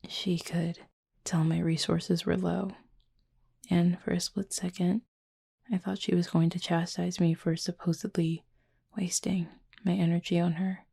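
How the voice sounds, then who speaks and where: Casting: gentle Cupid roommate, played by a woman, ASMR roleplay; husky, breathy, evenly pitched, measured and quietly affectionate. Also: little pitch movement, full character voice. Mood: quiet, reflective. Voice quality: soft, breathy, husky, often near-whisper. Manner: slow, measured, formal storytelling with soft pauses. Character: gentle adult Cupid roommate, wistful, affectionate, softly teasing. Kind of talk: intimate ASMR audio roleplay.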